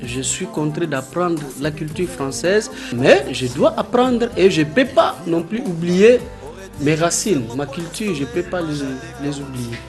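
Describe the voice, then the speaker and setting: A man in his thirties from the Democratic Republic of the Congo, a Congolese language his mother tongue, talking earnestly with halting restarts in a documentary interview. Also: a little high, lively intonation, music in the background. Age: 30s.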